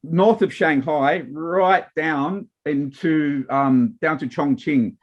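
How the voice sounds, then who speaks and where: A man's voice: on the high side, takes it slowly. An Australian man talks casually as a panel guest and rambles through restarts and fillers. The recording sounds slightly dull and muffled. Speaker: wine marketer guest.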